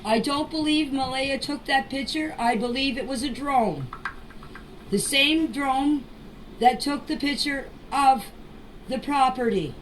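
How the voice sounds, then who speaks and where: Distant, high-pitched woman speaking slowly. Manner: deliberate, halting delivery in short phrases. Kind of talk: informal spoken voice message statement. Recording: distant noisy amateur voice clips.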